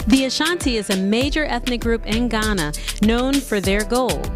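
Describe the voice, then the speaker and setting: A woman's voice: fairly high pitch, animated, normal speed. Woman narrating over a music bed. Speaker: host and narrator. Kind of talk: scripted narration.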